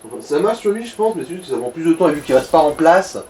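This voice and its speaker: A man's voice: on the high side, expressive, fast talker, dark tone, a little hiss or hum. A man co-hosting a let's play, chatting casually and with crude banter over the gameplay. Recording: roomy echo, music behind.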